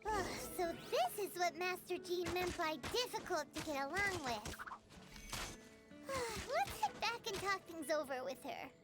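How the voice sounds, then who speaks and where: A very high, squeaky little companion creature from a video game, childlike and blunt, whose voice leaps all over the place. Loud game music plays underneath. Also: female voice, very animated, a little slow, exaggerated voice. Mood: exasperated. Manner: squeaky, exasperated, speaks of herself by name.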